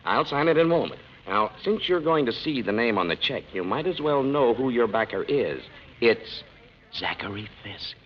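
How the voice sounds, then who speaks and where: An actor playing a prim, timid, easily flustered agent for a rich backer in a 1940s radio comedy. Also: male voice, medium pitch, lively intonation, moderate pace, close to an everyday voice. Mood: confidential. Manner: prim, fluttery, anxious. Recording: muffled, hissy 1940s radio.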